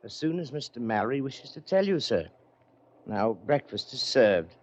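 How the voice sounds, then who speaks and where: An actor in a 1940s radio drama playing an impassive ship's steward who speaks with formal, evasive politeness. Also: male voice, mid-range voice, very animated, normal speed, darkish tone. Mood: formal, unruffled. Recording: dull old radio recording.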